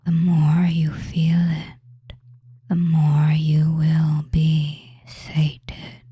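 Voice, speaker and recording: A man voicing a tender, teasing, commanding femboy witch in a breathy whisper, slowly giving soothing hypnotic commands in an audio roleplay. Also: on the high side, monotone, dark tone, dry recording, heavily acted. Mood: soothing, coaxing. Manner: slow, soothing, drawn-out phrases with commands.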